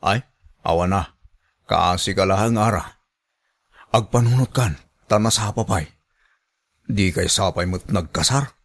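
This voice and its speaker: A man's voice: low voice, measured pace, pauses often, a bit bright, exaggerated voice. An actor with an Ilocano accent playing a familiar, flirtatious and sympathetic village man in a radio drama.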